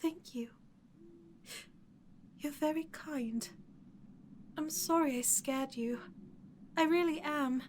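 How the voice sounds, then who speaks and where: High-voiced lovestruck undead bride played by a woman. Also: slow, long pauses, slight background noise. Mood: relieved, tender. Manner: soft, earnest, pleading, hesitant stammer. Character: lovestruck undead corpse bride, pleading, gentle, clingy yandere. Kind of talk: ASMR audio roleplay.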